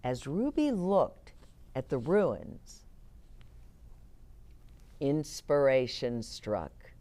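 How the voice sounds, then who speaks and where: A woman reading picture books aloud to children in an expressive way, chatting to her young listeners as host. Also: a bass voice, drawn-out words. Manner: expressive, explains words and chats to young listeners. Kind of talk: animated children's read-aloud with asides.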